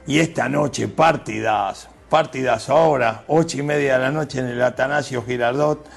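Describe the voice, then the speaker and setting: Gravelly sports commentator man. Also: medium pitch, moderate pace, faint music behind. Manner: emphatic, hyping the match. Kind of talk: sports preview.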